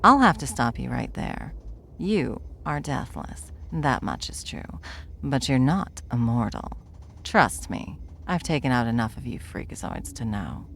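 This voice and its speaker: A woman playing a smug, world-weary veteran witch in a fantasy audio roleplay, dry, condescending and unhurried. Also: rumbling low, sing-song, vocal fry, a little hiss or hum, heavily acted. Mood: cool, lecturing.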